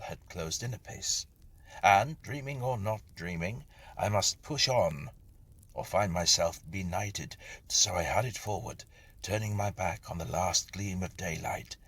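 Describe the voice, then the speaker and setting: Male narrator for gothic tales: lowish, breathy, husky voice, animated pitch movement, hushed dramatic storytelling. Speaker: narrator reading a short story aloud. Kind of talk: scripted narration of a Victorian ghost story.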